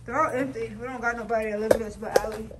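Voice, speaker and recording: A woman's voice: a little high, fairly even intonation, very fast, echoey room, very noisy. A woman hosting a casual vlog, giving a house tour in loose, slangy, swear-heavy talk, recorded on a handheld phone inside a house. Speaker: vlog host.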